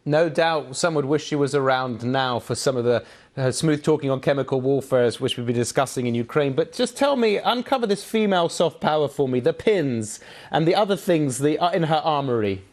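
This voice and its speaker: A man's voice: fairly high pitch, a little fast, a little mellow. A man presenting broadcast news and interviewing as a studio host.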